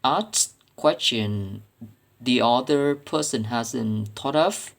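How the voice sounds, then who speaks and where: A male student with a Vietnamese accent, speaking as a native Vietnamese speaker, delivers a prepared reflection. His pace is markedly slow and his pitch sits in the middle. He sounds careful and earnest, picking through his phrases haltingly. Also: vocal fry. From Vietnam, Ho Chi Minh City. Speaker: student presenting coursework.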